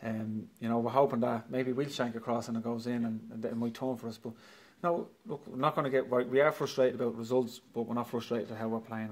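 Fast-talking Irish football manager. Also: male voice, mid-range voice, a little mellow. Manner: matter-of-fact, repeats himself, frustrated but upbeat. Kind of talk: post-match interview. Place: clubhouse trophy room, indoors. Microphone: handheld mic held by interviewer.

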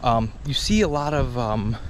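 A man's voice: medium pitch, animated, normal speed. A man in his thirties giving a casual, chatty walking tour outdoors, filmed on a handheld camera at arm's length, with plenty of ums and you-knows. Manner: casual, chatty, many fillers. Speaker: travel vlogger. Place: outdoors on small-town streets. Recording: outdoor street noise behind.

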